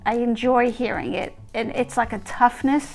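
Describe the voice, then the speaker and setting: A woman's voice: fairly high pitch, steady tone, normal speed, gravelly. A woman from New York City with a New York accent, talking candidly in an interview. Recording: music behind the voice.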